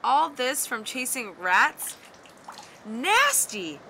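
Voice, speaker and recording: A woman's voice: sits high, wildly swooping pitch, very slow, bright, forceful tone, a little hiss or hum, full character voice. A woman playing a practical, wry young lighthouse keeper and inventor, narrating and speaking in an audio drama in a conversational way. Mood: startled, worried.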